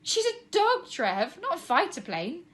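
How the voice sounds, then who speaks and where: Woman doing excitable little girl in a kids story, high voice, indignant n impatient, really emphatic. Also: unhurried, heavily acted. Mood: excited, alarmed. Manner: exclaiming, emphatic. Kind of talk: children's storybook reading.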